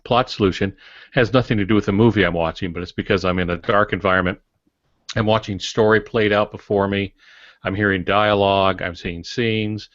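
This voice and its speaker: A middle-aged man with a low voice talks casually on a writing podcast. He explains things in a measured way with dry humor, and the recording is a little dull. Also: fairly even intonation, fairly bright tone. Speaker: podcast panelist, fiction writer. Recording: dull audio in echoey room.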